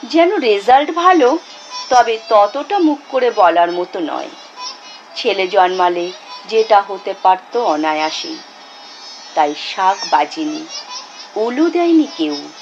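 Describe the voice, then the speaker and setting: A woman reciting a poem dramatically, in a measured, expressive way with deliberate pauses. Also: mid-range voice, highs cut off. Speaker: poetry reciter. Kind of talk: dramatic Bengali poetry recitation. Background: instrumental music.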